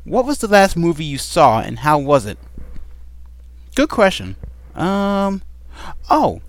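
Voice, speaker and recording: A man's voice: fairly high pitch, wildly swooping pitch, slow pace, no echo. A man chatting casually at home, answering a list of questions with many ums and a playful, rambling manner. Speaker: vlogger answering a question tag. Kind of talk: casual chat. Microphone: desk microphone.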